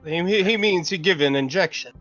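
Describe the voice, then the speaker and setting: A man's voice: sits high, normal speed, fairly bright tone, highs cut off, faint music behind. A man playing a tabletop game, bantering casually and protesting in character.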